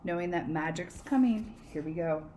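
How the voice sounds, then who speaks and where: A woman teacher reading a storybook aloud to children in an expressive, conversational way, pausing to prompt them with questions. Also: on the low side, slight background noise.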